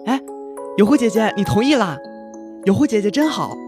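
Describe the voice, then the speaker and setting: A woman playing an eager, innocent and polite young boy in a fantasy audio drama, earnest and lively. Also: medium pitch, brisk, over music, natural voice. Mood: excited, coaxing.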